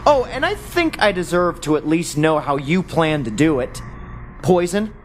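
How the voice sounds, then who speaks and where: An actor in an audio play as a bewildered, sarcastic secret agent who talks in an agitated, demanding way. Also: male voice, fairly high pitch, big pitch swings, normal speed, slight background noise. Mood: bitter, suspicious. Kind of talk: audio drama acting.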